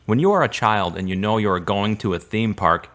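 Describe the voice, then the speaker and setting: A man's voice: fairly low pitch, lively intonation, a little fast, a bit bright. An actor playing a supportive, slightly awkward man in a radio drama, speaking earnestly and a little formally. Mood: earnest, consoling. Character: Derek, earnest, supportive, awkward male friend. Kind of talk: serial radio drama acting.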